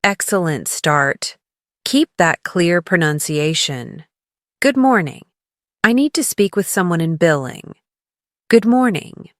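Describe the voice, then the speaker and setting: A woman leading a scripted English lesson as a teacher, encouraging the learner and clearly enunciating model phrases for repetition. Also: on the low side, lively intonation, slow, no echo, studio quality. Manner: encouraging coaching.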